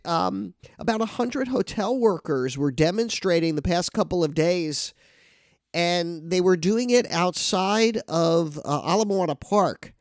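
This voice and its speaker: A man's voice: sits high, dry recording, clean studio sound. A man with a nasal, congested voice from a cold, hosting a casual news talk show and rambling with many restarts. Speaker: podcast host.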